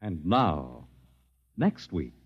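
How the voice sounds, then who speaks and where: An American man announcing a 1950s radio show in a theatrical style with deliberate dramatic pauses. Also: deep voice, very animated. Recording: dull 1950s radio recording with music.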